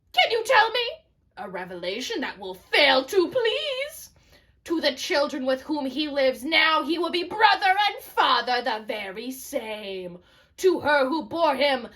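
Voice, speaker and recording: A woman playing an indignant, scornful old blind male prophet with drawn-out, emphatic delivery in an amateur puppet-show tragedy. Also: sits high, a bit bright, full character voice.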